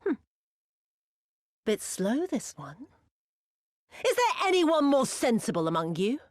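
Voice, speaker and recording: A cruel imperial viceroy woman in a video game, theatrical and haughty. Her high voice swings widely as she drawls with mocking sweetness, recorded close and dry. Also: very animated, slow, no room sound, full character voice. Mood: menacing, taunting. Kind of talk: video game cutscene acting.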